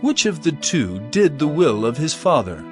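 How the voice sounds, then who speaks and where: An earnest, highish-voiced holy teacher. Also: male voice, sing-song, moderate pace, darkish tone, soft background music. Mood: authoritative. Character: male religious teacher, authoritative, earnest, commanding. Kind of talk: audio drama acting.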